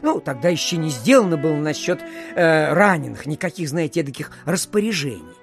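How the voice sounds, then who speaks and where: A garrulous small-town postmaster in an audio drama. He tells his tale pompously, padding it with filler phrases and dramatic pauses. His pitch swings widely, and music plays softly behind him. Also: very animated, gravelly. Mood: pompous storytelling. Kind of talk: theatrical storytelling in an audio play.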